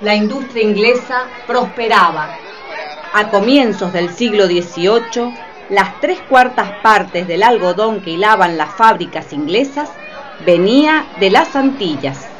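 A woman's voice: medium pitch, animated, measured pace, muffled recording, some chatter behind. A woman reading scripted narration for a radio play. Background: faint music.

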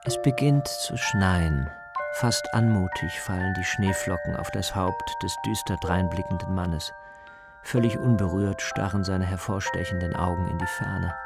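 Deep, gravelly male documentary narrator. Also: moderate pace, loud music behind the voice. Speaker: narrator and reporter of a radio feature. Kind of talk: scripted atmospheric documentary narration.

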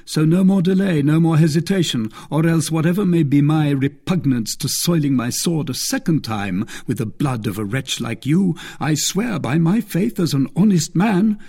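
A man's voice: fairly high pitch, normal speed, creaky, few pauses, darkish tone, husky, voice pushed up. A man voicing a commanding young swordsman of twenty, stern and imperious, in an audiobook. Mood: threatening. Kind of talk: dramatised audiobook character voice.

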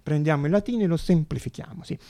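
Un uomo che interpreta in un monologo teatrale un giovane inventore idealista, che ragiona agitato tra sé, discutendo con se stesso. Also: male voice, fairly high pitch, fast talker, warm tone, dry recording, close to an everyday voice. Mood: eager. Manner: agitated, self-questioning, arguing with himself. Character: idealistic young male inventor, feverishly reasoning aloud to himself. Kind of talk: theatrical monologue radio drama.

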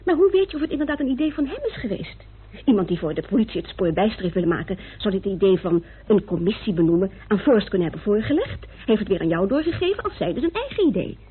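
A woman's voice: medium pitch, very animated, quick pace, slight background noise, lightly acted. An actress playing a caring, argumentative woman, coaxing and insistent, in an old Dutch radio drama. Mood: insistent, questioning. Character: caring, argumentative woman reasoning with her troubled partner. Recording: muffled tinny old radio recording.

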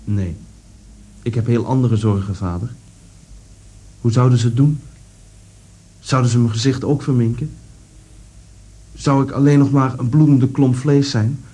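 An actor playing a condemned man, husky and anguished, in a radio play. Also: medium pitch, steady tone, unhurried, long pauses, dark tone. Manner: anguished, brooding. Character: condemned man haunted by death.

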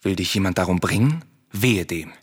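An actor playing a secretive, protective exiled prince in a solemn radio tragedy, speaking gravely and with urgency. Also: male voice, deep voice, animated, normal speed. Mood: urgent caution. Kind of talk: solemn radio drama acting of verse tragedy. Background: music.

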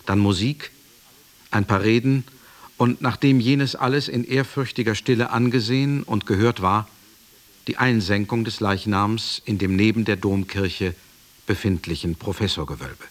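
An actor playing a sober companion who narrates a literary radio play in a grave, measured way. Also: male voice, on the low side, natural voice. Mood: solemn. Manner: measured, grave, hushed at phrase ends. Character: sober male companion recounting a master's death.

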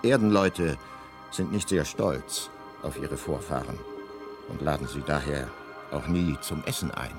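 Low-voiced wry storyteller over music. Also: male voice. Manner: deadpan, measured irony. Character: wry, omniscient talking guidebook narrator, male. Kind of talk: scripted radio comedy narration.

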